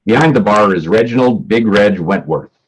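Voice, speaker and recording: Male narrator for a staged reading: low pitch, scripted scene and action descriptions. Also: lively intonation, normal speed, breathy edge, poor audio. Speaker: stage directions reader.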